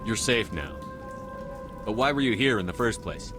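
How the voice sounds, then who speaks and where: An actor playing a steady, businesslike military commander in a video game. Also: male voice, on the low side, normal speed, music in the background, lightly acted. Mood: terse. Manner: terse, businesslike.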